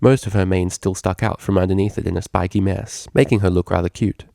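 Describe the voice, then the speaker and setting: Low, mellow, gravelly male story narrator. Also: steady tone, brisk, no room sound, studio quality. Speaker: fan fiction reader.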